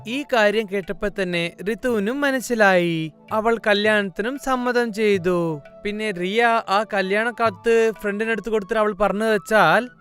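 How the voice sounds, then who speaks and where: High-voiced man narrating a cartoon story. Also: measured pace, fairly bright tone, smooth, soft background music. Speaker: narrator and voice of several characters.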